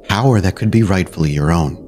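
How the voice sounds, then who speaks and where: A man acts out a dead vampire elder haunting a mirror in a roleplay game. The voice is very low and grandiose, needling with pointed questions, over faint music. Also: brisk, a little mellow, lightly acted. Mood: goading, grand. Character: dead vampire sire as mocking, goading mirror reflection. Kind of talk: tabletop roleplay acting.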